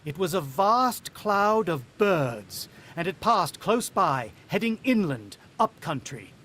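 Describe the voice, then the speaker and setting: A man's voice: a little high, a bit bright, slight background noise. An actor playing a worried, watchful country father who also narrates, in the style of a vintage radio drama.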